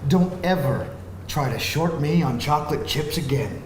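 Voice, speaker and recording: A man's voice: fairly high pitch, moderate pace, crowd noise, lightly acted. An actor playing a smug, scheming father in a theatrical live screenplay read. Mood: mock menacing. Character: smug scheming father who blackmails rival parents. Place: live event room with audience. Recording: echoey room, faint noise.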